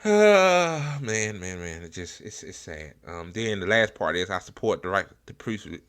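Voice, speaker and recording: An American man hosting a casual, unscripted political commentary show, talking bluntly in slang and profanity with halting, repetitive phrasing. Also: fairly low pitch, sing-song, a bit bright, smooth. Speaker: online political talk show host.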